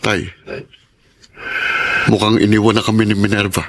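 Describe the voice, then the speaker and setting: A man's voice: on the low side, level tone, normal speed, a bit bright. An actor playing a dutiful, troubled young Filipino father, polite and deferential, in a radio drama. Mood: dejected.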